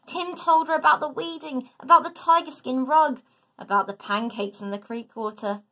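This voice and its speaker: A woman teacher narrating a children's story aloud in a dramatic, deliberate, expressive way. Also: high-pitched. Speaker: teacher reading to a class.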